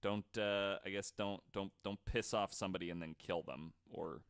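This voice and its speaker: A man's voice: deep voice, steady tone, normal speed, clear, resonant tone. A man hosting a podcast, telling stories to co-hosts in a casual, joking, informal way with some swearing. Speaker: podcast host.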